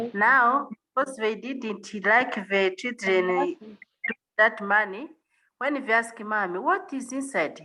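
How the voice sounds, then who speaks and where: A woman telling a story informally to a group on a video call, in halting English. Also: mid-range voice, pushed and bright, low-quality recording. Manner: halting, non-native English phrasing. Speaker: training participant.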